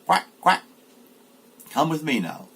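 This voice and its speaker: A man's voice: a little high, very animated, takes it slowly, a bit bright, slight background noise, voice pushed up, heavily acted. A man voicing a proud, protective mother duck in an exclaiming, instructive way, as in a storybook reading.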